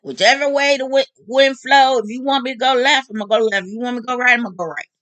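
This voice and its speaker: A woman in her late fifties talking openly to her audience in a casual, animated, joking monologue. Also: on the high side, fast talker, dry recording. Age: 50s. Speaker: vlog host.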